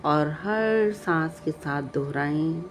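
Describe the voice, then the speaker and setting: A woman narrating a guided meditation, giving calm, measured instructions with long pauses. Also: low-pitched, slight background noise. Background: background music.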